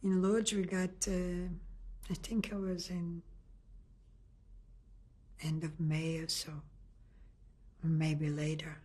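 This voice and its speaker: Older woman from Lithuania, husky and halting. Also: fairly low pitch, level tone, warm tone. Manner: halting, searching for words with frequent restarts. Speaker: interviewee. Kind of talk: oral history testimony.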